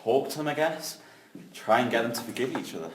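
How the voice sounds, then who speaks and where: A man's voice: mid-range voice, animated, a little fast, close to an everyday voice. A man playing an earnest, loyal, conflict-shy brother in an amateur audio drama, sincere and informal. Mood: troubled, thoughtful. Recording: echoey room with background noise.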